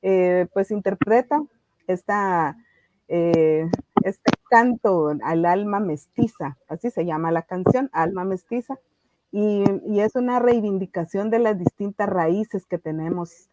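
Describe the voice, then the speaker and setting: A woman with a Guatemalan Spanish accent, speaking reflectively and haltingly as a guest in an interview. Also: lowish voice, warm tone, no room sound. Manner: thoughtful, halting delivery. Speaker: activist guest. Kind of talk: reflective radio interview talk. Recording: slightly dull, lo-fi audio.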